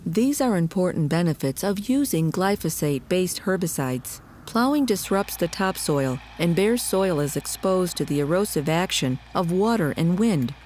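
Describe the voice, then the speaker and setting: A woman reading scripted documentary narration in a measured, articulate, explanatory way. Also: on the low side, a little mellow, a little hiss or hum.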